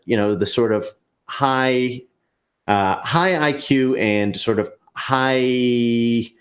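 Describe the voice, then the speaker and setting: A man speaking as a guest in a casual livestream discussion, talking in a halting way with many fillers and restarts. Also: lowish voice.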